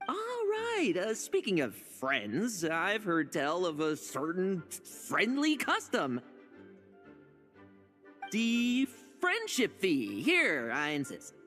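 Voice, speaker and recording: An actor voicing a cocky, sly, teasing young knight in an anime video game, glib and theatrical with a drawl. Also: male voice, high-pitched, wildly swooping pitch, takes it slowly, sharp tone, soft background music, full character voice. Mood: smooth, coaxing.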